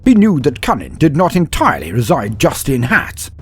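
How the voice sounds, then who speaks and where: A man narrating a comedic mock-epic fan story in a grandiose, lively storytelling manner with dry asides. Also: mid-range voice, moderate pace, soft background music.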